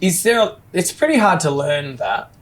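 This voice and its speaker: A man's voice: fairly high pitch, very animated, moderate pace. A man hosting a podcast on a riverboat, asking questions in a friendly way and often stumbling over his words. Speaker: podcast host. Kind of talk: casual interview. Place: outdoors on a riverboat.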